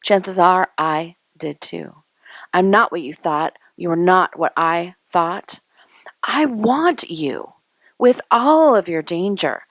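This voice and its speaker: A woman reading poetry aloud in a dramatic spoken-word style, with deliberate, emphatic, declarative phrasing broken by pauses. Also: fairly low pitch, lively intonation, no room sound. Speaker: poet.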